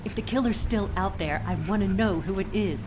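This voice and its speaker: A woman's voice: mid-range voice, a little fast, lightly acted. A woman voicing an anxious, insistent character demanding answers in a video game, upset and pressing. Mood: worried, insistent. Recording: clear ambient noise.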